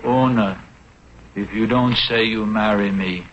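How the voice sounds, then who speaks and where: An actor playing a blunt caveman suitor who speaks halting, primitive speech in 1940s radio comedy style. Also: on the low side, steady tone. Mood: blunt, insistent. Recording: dull, noisy 1940s radio recording.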